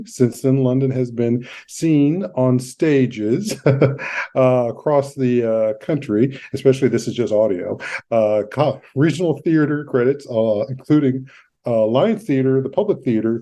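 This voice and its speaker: A man's voice: medium pitch, mellow. A man hosting a podcast, introducing and interviewing a guest, stumbling a little over his words as he reads. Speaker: podcast host.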